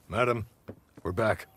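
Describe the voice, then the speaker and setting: An actor playing a courteous, discreet manservant in a Western video game, formal and politely dry. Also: male voice, lowish voice, fairly bright tone, husky, exaggerated voice. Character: courteous, discreet manservant to a wealthy frontier widow. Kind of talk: dramatic video game dialogue.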